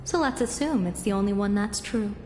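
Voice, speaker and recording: An actress playing a poised, shrewd female general in a video game, speaking in a measured, formal, wryly amused manner. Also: medium pitch, darkish tone, natural voice. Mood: wry, composed. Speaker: military commander. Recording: roomy echo with game music behind.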